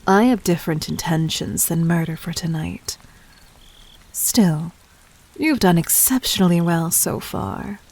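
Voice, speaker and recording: A woman acts out a demon queen for an audio roleplay, her voice on the low side and very unhurried. She addresses the listener formally, gently domineering and teasing, with breathy touches and silky condescension. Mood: intrigued.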